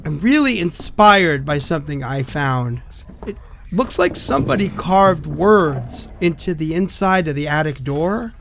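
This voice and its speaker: A man's voice: on the high side, expressive, a little slow, gravelly, fairly bright tone, a little hiss or hum, exaggerated voice. An actor playing a sick, rattled, apologetic man who rambles hesitantly through phone messages in a horror audio drama. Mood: upbeat, sheepish.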